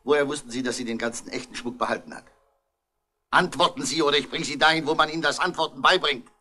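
A man's voice: on the high side, fairly even intonation, fast, pushed and bright. A man playing a stern, accusing police inspector in a 1960s radio crime drama, giving clipped, authoritative commands.

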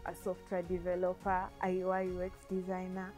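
A woman's voice: on the low side, completely flat delivery, normal speed, a little mellow, faint music behind. A young woman from Uganda, a coding student giving an eager, thoughtful interview testimonial on an outdoor terrace with a lapel mic. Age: young adult. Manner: eager, thoughtful, with repeated words and restarts.

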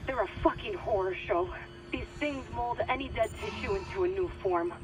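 A woman playing a sharp, blunt tech specialist over a comms call in a video game. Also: medium pitch, normal speed, over music. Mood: alert, grim. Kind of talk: naturalistic game acting. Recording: noisy live audio call.

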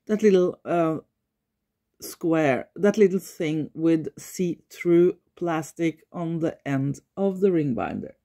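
A Norwegian woman talking unscripted in her living room, pausing often as she searches for words. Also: fairly low pitch, mellow. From Norway. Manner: thoughtful, searches for words, frequent pauses. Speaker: environmental vlogger. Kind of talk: unscripted talk to camera.

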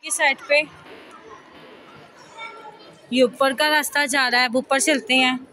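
A woman's voice: sits high, fairly even intonation, brisk, pushed and bright, a little hiss or hum. A woman giving a casual, chatty walking vlog, pointing out her surroundings, recorded on a handheld phone outdoors. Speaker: vlogger. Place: large busy open-air mosque courtyards and grounds. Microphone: handheld phone, speaker behind camera. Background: crowd of visitors.

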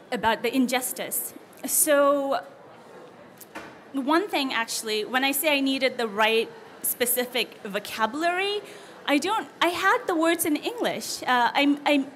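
A young woman from India whose first language is Tibetan, speaking earnestly on a seminar panel as a literary translator, often pausing with ums and restarting her sentences. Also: sits high, fairly bright tone, slight background noise. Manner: earnest, thinking aloud with frequent ums and restarts. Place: book fair seminar room, seated at a panel table.

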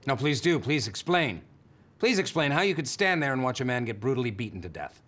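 Man playing accusatory TV news interviewer, low voice, swooping theatrical delivery, sarcastic, pointed. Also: animated, moderate pace, fairly bright tone. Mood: accusing, sarcastic.

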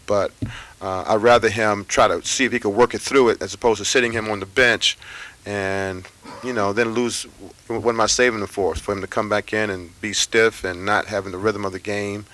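A middle-aged man, a basketball head coach, answering reporters after a game in measured, unhurried talk full of fillers and pauses. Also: lowish voice, fairly even intonation, pushed and bright. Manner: measured, thinking aloud with many fillers. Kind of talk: postgame press conference answers. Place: press room with sponsor backdrop. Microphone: table microphones at a press conference.

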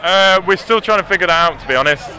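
A man's voice: high voice, fast talker, low-quality recording. A British man in his twenties bantering casually and cheekily as a tourist being interviewed outdoors. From England. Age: 20s. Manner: jokey, cheeky. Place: outdoors on a busy city street at night. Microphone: interviewer's handheld microphone. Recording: busy street crowd noise.

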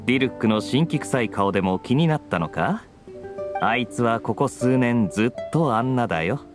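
An actor playing a suave, teasing young knight in a video game, languid and wry. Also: male voice, mid-range voice, natural voice. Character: suave, teasing young man, a laid-back adventurer knight. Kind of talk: Japanese video game voice acting. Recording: studio voice over guitar game music.

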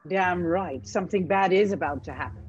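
A woman's voice: fairly low pitch, a little fast, a little mellow, soft background music, natural voice. A woman playing a mean, mocking pantomime villainess, arch and theatrical, on slightly dull video-call audio. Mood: bossy, arch.

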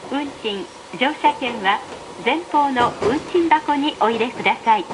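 High-voiced woman, slow train announcement. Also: expressive. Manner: formal, evenly enunciated. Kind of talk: recorded train announcement, scripted. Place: played over speakers inside a moving train. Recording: train PA with clear running noise. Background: train running noise.

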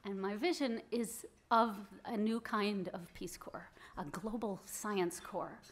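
A woman's voice: mid-range voice, slow. A woman in her thirties giving an earnest acceptance speech into a stand microphone in an event hall, stressing key phrases. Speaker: award recipient giving speech. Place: event hall with audience, indoors. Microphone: stand mic at podium.

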